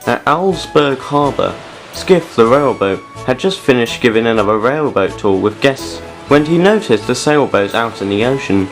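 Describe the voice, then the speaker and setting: Guy narrator reading a kids story, medium pitch, music clearly playing under him. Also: expressive, normal speed.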